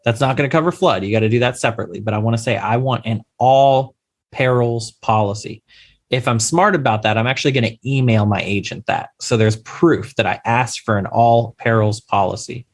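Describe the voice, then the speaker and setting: An emphatic man gives advice as a podcast guest, with a middle-pitched voice. He stresses his points and often asks questions back, keeping a plain, explanatory manner. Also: a little fast. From Louisiana, USA. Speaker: insurance attorney guest. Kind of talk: podcast interview, advising investors.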